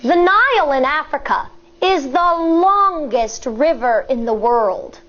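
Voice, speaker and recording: An American woman, a schoolteacher reading a children's book aloud in a deliberate, instructive way, explaining as she goes. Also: high-pitched, expressive, a bit bright, dull audio, a little hiss or hum.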